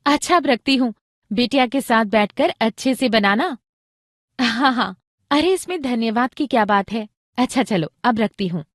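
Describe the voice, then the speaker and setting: Practical, caring mother, educational drama, very animated instructive delivery, dry close recording. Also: on the high side, normal speed, dry recording. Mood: brisk, teasing. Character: practical, caring Indian mother explaining parenting to her husband. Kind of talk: scripted educational audio drama dialogue.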